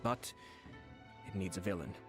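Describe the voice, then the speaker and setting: Man acting a low-voiced, composed young nobleman. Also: lightly acted. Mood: calm, scheming. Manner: measured, formal, diplomatic. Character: composed, shrewd young nobleman and clan commissioner with courteous tact. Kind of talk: video game voice acting. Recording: game audio with music behind. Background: game music.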